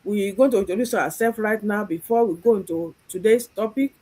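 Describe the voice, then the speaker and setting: A woman's voice: medium pitch, brisk, mellow, husky. A woman from Nigeria hosting a live online stream, speaking earnestly and often repeating herself. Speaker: host.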